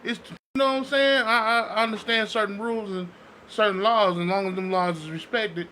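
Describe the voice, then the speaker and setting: A man's voice: sits high, steady tone, a little fast, pushed and bright. An American man co-hosting a podcast, chatting casually in slang and often checking that he is followed. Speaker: podcast co-host. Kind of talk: casual podcast chat and storytelling. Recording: clearly noisy background.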